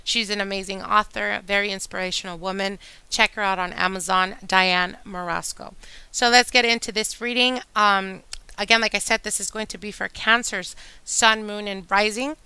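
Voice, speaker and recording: A woman reading tarot cards for her channel, chatty and addressing viewers directly, her voice a little hoarse from a long day. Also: mid-range voice, fairly even intonation, moderate pace, dry recording, poor audio. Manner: chatty, warm address to viewers, whispers during shuffling. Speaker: tarot reader. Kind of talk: casual tarot reading monologue. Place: indoor table with candles and decor.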